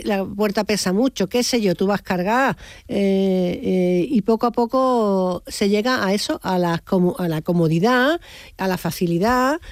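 A woman's voice: mid-range voice, a little mellow, no echo, studio quality. A woman hosting a radio call-in, chatty and prompting, often repeating words as she goes. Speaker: radio host. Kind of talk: casual call-in interview.

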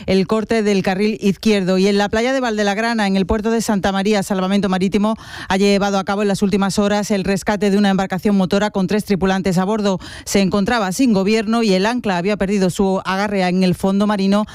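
Male newsreader, formal bulletin style, high crisp voice. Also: fast, no room sound, studio quality. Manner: steady formal delivery. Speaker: radio newsreader. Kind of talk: hourly news bulletin.

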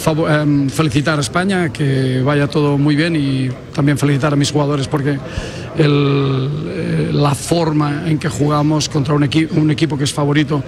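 A man who coaches a national football team, giving a post-match interview in a halting, measured way and often searching for words. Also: on the high side, steady tone. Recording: crowd noise behind.

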